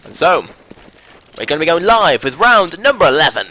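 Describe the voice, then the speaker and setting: A man's voice: a little high, sing-song, a little fast, pushed and bright, poor audio. A young British man casting a live esports match, upbeat and rambling, joking between rounds on a headset mic. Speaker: esports caster. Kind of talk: live game commentary.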